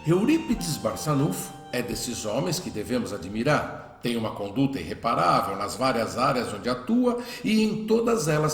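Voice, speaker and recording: A man's voice: medium pitch, natural voice. A man playing a protective, emphatic father of a grown son in a radio drama. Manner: emphatic, declamatory. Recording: roomy echo, faint music behind.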